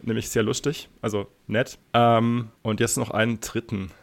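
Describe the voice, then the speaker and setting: A man's voice: medium pitch, level tone, normal speed, gravelly. A man co-hosting a casual podcast, rambling a little as he repeats and rephrases himself. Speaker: podcast co-host.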